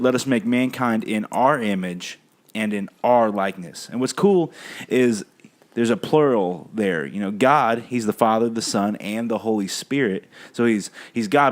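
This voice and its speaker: A young man speaking in a friendly, informal, encouraging way as a pastor hosting a family chat. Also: fairly low pitch. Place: family kitchen.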